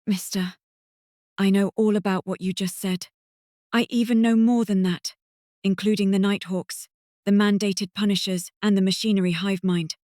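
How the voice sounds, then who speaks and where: A woman playing an excitable, eager young noblewoman in an audiobook drama. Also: mid-range voice, moderate pace, no echo, studio quality, lightly acted. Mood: earnest, reluctant. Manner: eager, stumbling over her words. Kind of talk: audiobook character acting.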